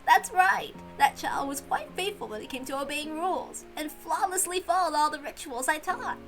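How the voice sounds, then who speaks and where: A woman voicing an amused, kindly older female servant reminiscing in an expressive visual novel read-aloud. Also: high voice, normal speed. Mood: fondly amused. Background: music.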